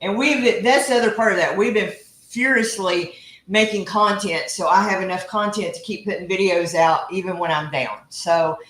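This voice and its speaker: A woman's voice: mid-range voice, moderate pace, a bit bright. Texan woman, home cooking host, teasing, roomy kitchen sound. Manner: chatty, teasing, laughs often. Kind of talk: casual live cooking chat.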